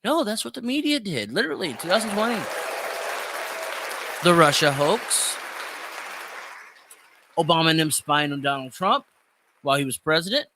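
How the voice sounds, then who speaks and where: A man's voice: a little high, very animated, normal speed, pauses often, crowd noise, noisy background. A man giving opinionated solo commentary as a podcast host, emphatic and repeating phrases for stress.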